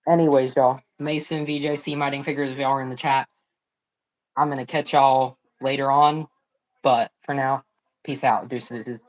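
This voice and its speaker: Young man streaming, hoarse and excited. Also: medium pitch, low-quality recording. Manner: excitable, fast, slangy, exclaims often. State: sore throat, voice cracking. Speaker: watch party streamer. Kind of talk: excited live stream chat. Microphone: phone.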